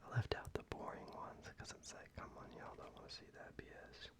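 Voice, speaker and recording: A young man talking softly in a half whisper, casual and rambling, as an ASMR creator. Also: a little fast. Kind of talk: soft-spoken ASMR show-and-tell. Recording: slightly muffled, with faint ambient noise.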